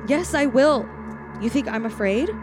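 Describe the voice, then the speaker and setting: A woman's voice: on the high side, normal speed, noisy background, natural voice. A woman playing a frightened young working woman held by a killer in a radio thriller, pleading, faltering and sometimes defiant, also narrating. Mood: shaky defiance. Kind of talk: classic radio thriller acting with first-person narration.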